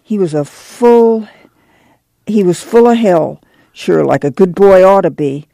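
A woman's voice: lowish voice, moderate pace, warm tone, no echo, close to an everyday voice. A woman voicing an earnest, protective middle-aged farm mother, homespun and confiding, in an audiobook. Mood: earnest, pained. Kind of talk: audiobook character acting.

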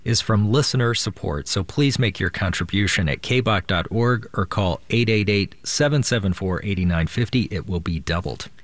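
Man, radio co-host, pledge appeal, brisk, upbeat, persuasive, low voice, dry close studio sound. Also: dry recording.

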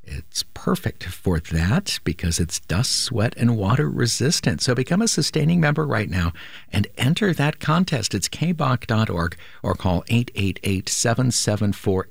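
A man hosting a classical music radio station, making a calm, measured pledge drive appeal from a studio microphone. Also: lowish voice, vocal fry, breathy edge, no room sound. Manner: calm, measured, sometimes drops to a near whisper.

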